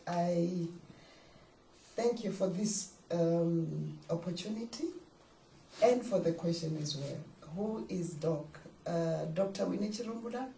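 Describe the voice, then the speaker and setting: An older South African woman, a pastor, speaking measured and formal in a studio interview, sometimes naming herself in the third person. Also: on the low side, gravelly, mellow, reverberant, distant mic, slight background noise, rough recording.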